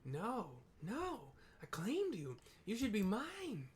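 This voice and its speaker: A man's voice: high-pitched, huge pitch swings, takes it slowly, pitched above the performer's own voice, full character voice. A man voicing a proud, vengeful female wind goddess who declaims threats in a haughty manner. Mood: disbelieving.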